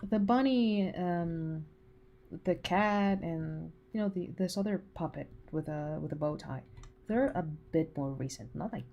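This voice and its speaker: A woman's voice: fairly low pitch, measured pace, vocal fry, highs cut off. A woman in her twenties chatting casually with her livestream viewers, teasing and full of filler words. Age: 20s. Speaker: animator streaming to chat.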